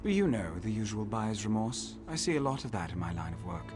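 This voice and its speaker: A cocky male assassin for a fantasy game. His voice sits a little low and moves with lively, expressive swings. He is wry, sly and casual, over clear background music. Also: brisk. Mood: wry, matter-of-fact. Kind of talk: video game character acting.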